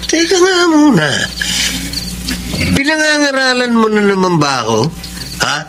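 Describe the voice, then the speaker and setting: A man's voice: very high voice, wildly swooping pitch, measured pace, noisy background, exaggerated voice. A man playing a selfish, dismissive boyfriend with vices, brash and irritable in a Tagalog radio drama. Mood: mocking, irritated.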